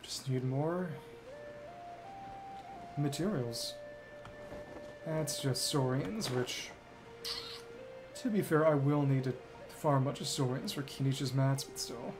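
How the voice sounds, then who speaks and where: A man's voice: medium pitch, slow pace, long pauses, music in the background, low-quality recording. A man streaming a video game, giving casual live commentary in short fragments, muttered to himself.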